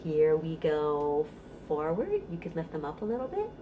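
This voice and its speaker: A woman's voice: low voice, huge pitch swings, normal speed, phone-quality sound, slight background noise. A middle-aged American woman leading a storytime for babies as a children's librarian, giving instructions and reciting rhymes in a sing-song, encouraging way.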